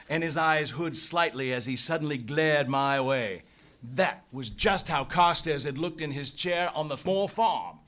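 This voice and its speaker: Man playing a high-voiced, earnest adventurer hero. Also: a bit bright. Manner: emphatic, suspenseful, theatrical. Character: earnest, dogged male adventurer hero telling his own tale. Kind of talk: dramatic first-person narration in a live radio play.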